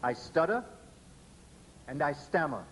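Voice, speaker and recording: A man giving a public lecture in a measured, emphatic way, often spelling out letters slowly for emphasis. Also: on the high side, animated, a bit bright, slight background noise.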